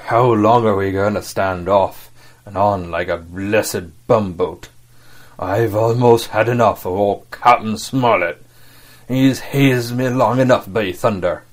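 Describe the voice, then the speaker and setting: A man voicing a gruff, grumbling pirate coxswain for an audiobook, with a growl and blunt, rough sailor talk. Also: fairly low pitch, slow. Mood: impatient. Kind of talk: audiobook character acting.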